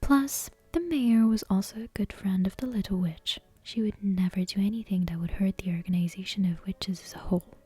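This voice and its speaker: Woman doing asmr bedtime story, super breathy whisper, mellow, gentle careful reading. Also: mid-range voice, brisk, few pauses, no room sound. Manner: gentle, careful, hushed read-aloud delivery.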